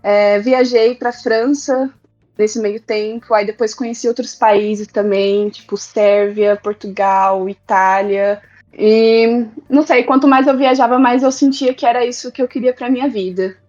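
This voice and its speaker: A young woman from Brazil, a guest on the show, casually telling how she moved abroad as an au pair, in a relaxed, reflective way. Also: on the high side, unhurried, faint music behind. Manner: relaxed, reflective, filler "tipo". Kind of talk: casual storytelling. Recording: dull lo-fi sound.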